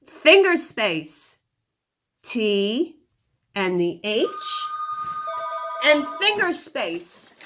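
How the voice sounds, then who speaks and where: Slow, animated woman teaching young children. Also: sits high, slight background noise. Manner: slow, deliberate instruction, sounding words out. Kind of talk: phonics lesson for children.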